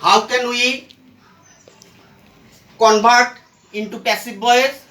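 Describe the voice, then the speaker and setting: A teacher giving a step-by-step grammar lesson in short, halting phrases, asking and answering their own questions, recorded from a distance in an echoey room. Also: medium pitch, steady tone, pushed and bright. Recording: echoey room, far from the mic.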